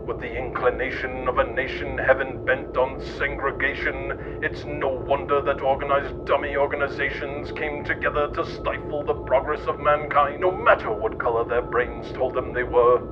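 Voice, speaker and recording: A man narrates a scripted satire with a mock-serious, deadpan air. His mid-range voice stays very flat and barely moves in melody. The audio is dull and lo-fi, with music clearly playing behind him. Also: medium pitch, normal speed, hardly any pauses. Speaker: narrator of a satirical history podcast. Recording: muffled lo-fi audio under music. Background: background music.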